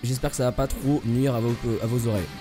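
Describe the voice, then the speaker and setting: A hoarse man hosting a podcast, who reads a welcoming scripted intro and then interviews a guest. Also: mid-range voice, fast talker, music in the background. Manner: welcoming, steady scripted delivery. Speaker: podcast host.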